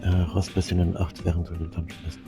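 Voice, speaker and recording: A man with a very low voice plays a game and comments as he goes. He reads hints aloud, with clear game music running behind him. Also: monotone, rapid-fire. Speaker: let's player. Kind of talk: gameplay commentary, reading on-screen hints aloud.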